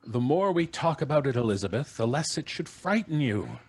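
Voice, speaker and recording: A man's voice: mid-range voice, big pitch swings, brisk, a little mellow, husky. A middle-aged man playing a blustery, pompous, unflappable father who dismisses ghosts in an old-time radio drama. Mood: amused, unruffled. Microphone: studio microphone on a video call.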